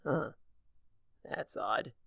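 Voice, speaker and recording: A man's voice: very slow, sharp tone, dry recording, studio quality. A man voicing a puzzled, eager young male changeling trainee in a story reading, earnest and inquisitive.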